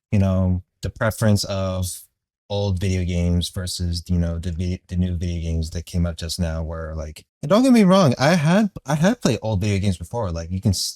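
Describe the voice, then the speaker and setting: Low-voiced man giving rambling commentary. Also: darkish tone, dry recording, clean studio sound. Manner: rambling, profane. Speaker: commentary video creator. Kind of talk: casual opinionated commentary.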